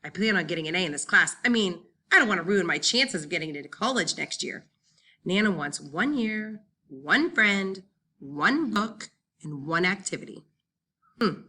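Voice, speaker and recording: Casting: female audiobook reader, forward bright tone, slightly low register, dramatic first-person delivery for young readers' fiction. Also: lively intonation, moderate pace, slightly rough. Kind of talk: expressive first-person children's novel narration.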